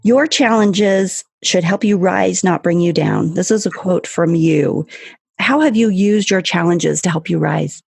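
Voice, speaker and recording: A woman's voice: lowish voice, a little slow, vocal fry, a little mellow, breathy edge, no echo, clean studio sound, faint music behind. A woman hosting a podcast, asking questions in an enthusiastic, encouraging way. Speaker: podcast host. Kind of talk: interview hosting.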